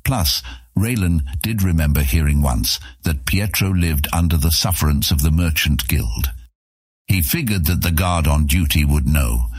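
Very deep, husky male narrator. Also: dry recording, clean studio sound. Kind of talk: scripted fantasy audiobook narration.

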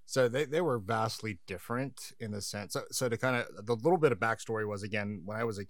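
Lowish friendly storytelling guest. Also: male voice, quick pace, no echo. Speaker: podcast guest. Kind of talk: casual conversation.

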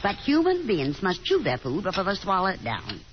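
A woman's voice: medium pitch, huge pitch swings, moderate pace. An actress playing a nagging, genteel middle-aged Southern mother with a theatrical, chatty manner in a 1950s radio drama. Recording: muffled, noisy 1950s radio broadcast.

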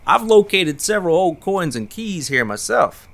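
A man's voice: high-pitched, big pitch swings, moderate pace, vocal fry, a bit bright, heavily acted. An actor playing a garrulous, folksy big man, a self-satisfied hobbyist and small-time officer, in an audio drama. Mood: proud, boastful.

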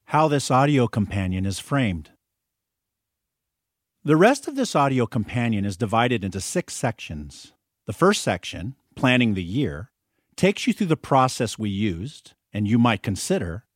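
A man reading a nonfiction audiobook as a teacher and author, deliberate and earnest. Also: mid-range voice, sing-song, dry recording, studio quality. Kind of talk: scripted audiobook narration.

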